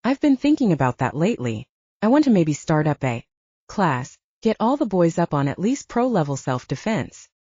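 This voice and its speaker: A woman's voice: fairly low pitch, very animated, moderate pace, dark tone, slightly rough, no room sound, highs cut off. A woman reading a laid-back young werewolf guy. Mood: easygoing.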